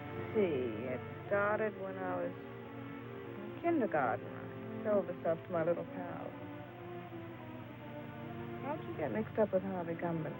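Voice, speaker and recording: A woman's voice: fairly low pitch, animated, unhurried, pauses often, music in the background, rough recording. An actress with an American accent playing a skeptical young woman in a dry, sarcastic 1950s film noir style. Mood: dry, teasing.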